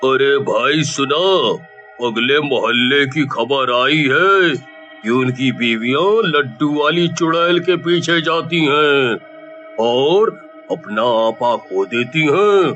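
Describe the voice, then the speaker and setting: A man's voice: mid-range voice, slow pace, bright, forceful tone, faint music behind. A man playing a gossiping neighbour in a cartoon story, explaining alarming local news dramatically, in slightly dull sound. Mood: gossipy, cautionary. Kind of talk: dramatized animated story dialogue.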